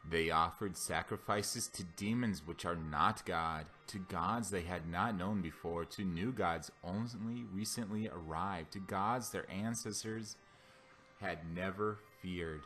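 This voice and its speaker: A man with a lowish voice reads scripture aloud in a solemn style. His phrasing is deliberate and declamatory. Soft music plays behind him. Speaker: Bible reader. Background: background music.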